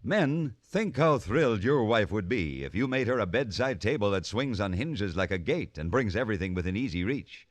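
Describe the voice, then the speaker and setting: Ad announcer: man, low register, very animated scripted sales read, dry sound. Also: moderate pace, few pauses, dry recording. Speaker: commercial announcer. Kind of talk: scripted radio advertising pitch.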